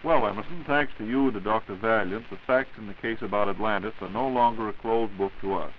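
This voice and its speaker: A man's voice: lowish voice, quick pace. A man hosting a scripted 1940s radio program with a polished, courteous announcer delivery. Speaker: radio host. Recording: dull, hissy 1940s radio recording.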